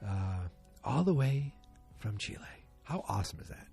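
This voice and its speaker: A man's voice: on the low side, very animated, normal speed, vocal fry, darkish tone, faint music behind. A man hosting a solo podcast, chatting casually in a rambling, self-deprecating, joking way. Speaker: podcast host.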